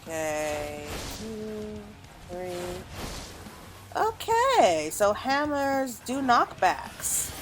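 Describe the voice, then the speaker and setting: A woman's voice: mid-range voice, wildly swooping pitch, very slow, music in the background. A woman giving casual let's play commentary as a game streamer, thinking aloud and reacting as she plays.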